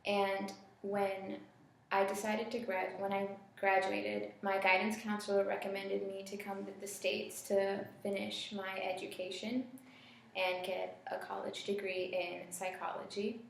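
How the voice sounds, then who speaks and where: A young woman from Sri Lanka tells her story in an even, matter-of-fact way, her voice flat in pitch with a gravelly edge. The room around her rings with heavy echo. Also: a little slow, slight background noise. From Sri Lanka, raised in Bangladesh. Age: young adult. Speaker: international student. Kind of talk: interview testimony. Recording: very echoey room.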